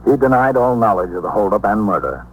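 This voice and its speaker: An actor playing a sober American police captain, narrating a case in an official, measured 1950s radio style. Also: male voice, on the low side, big pitch swings, breathy edge. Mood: matter-of-fact. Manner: matter-of-fact, official, measured. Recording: noisy 1950s radio recording.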